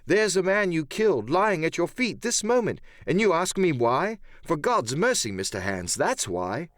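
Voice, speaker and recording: A man's voice: on the high side, very animated, normal speed, dry recording, studio quality, voice pushed up. A man voicing a bold, earnest young sailor boy with an indignant, pious manner, in a dramatised audiobook.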